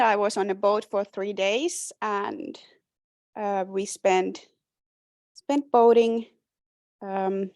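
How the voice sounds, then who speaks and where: A young woman with a Finnish accent talks through her slides at an unhurried pace, stopping often for fillers and restarting her sentences. Her voice sits at an ordinary pitch for a woman. The sound is dry, with no room echo. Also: darkish tone. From Finland. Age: 20s. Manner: hesitant, many fillers and restarts, light laughter. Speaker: presenter. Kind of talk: informal slide presentation.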